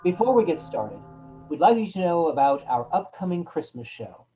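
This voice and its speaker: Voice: mid-range voice, big pitch swings, moderate pace, highs cut off, soft background music. An announcer reading a scripted introduction to a radio-style show.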